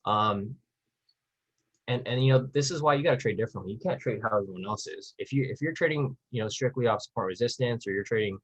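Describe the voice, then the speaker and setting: A man's voice: mid-range voice, fast, a bit bright, breathy edge, rough recording. A man hosting a live trading webinar, explaining things in a casual, slangy, conversational way to his listeners.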